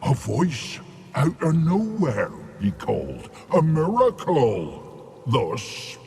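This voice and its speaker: A man voicing a fat, greedy, pompous creature who poses as a god in a video game, with gravelly theatrical speech. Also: medium pitch, huge pitch swings, slow, a little mellow, big-room sound, full character voice. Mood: boastful. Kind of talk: video game character acting.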